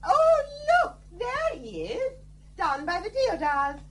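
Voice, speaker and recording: A very high, girlish young lady. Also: big pitch swings, slow, a little hiss or hum, exaggerated voice. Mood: excited, startled. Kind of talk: period radio drama acting.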